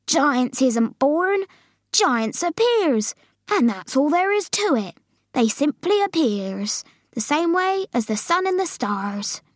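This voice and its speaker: Lady doing a gentle old giant guy for a kids storybook, sad and emphatic, drags out his garbled words real slow. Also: high-pitched, sing-song, no echo, studio quality, pitched above the performer's own voice, full character voice. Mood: emphatic, indignant. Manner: drawn-out, emphatic, mangled words and grammar. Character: very old, forlorn, muddled-talking friendly male giant.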